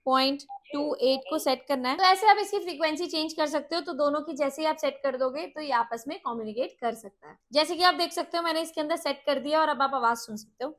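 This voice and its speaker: A woman's voice: high-pitched, quick pace, few pauses, a bit bright. A woman hosting a casual product demo, explaining things and mixing in English words. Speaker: product review host.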